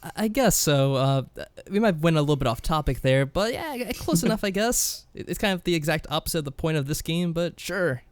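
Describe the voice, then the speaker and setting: A man's voice: a little high, lively intonation, brisk, few pauses, no echo. Mild-mannered man, very dry close sound. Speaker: podcast co-host. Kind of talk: casual game discussion.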